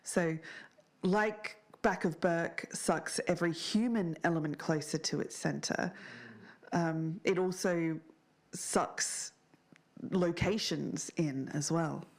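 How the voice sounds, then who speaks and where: Woman acting a slow, creaky-voiced shaken witness. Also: fairly low pitch. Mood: earnest. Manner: halting, searching for words. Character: shaken, earnest woman recounting a strange otherworldly town. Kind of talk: audio drama interview, naturalistic podcast acting.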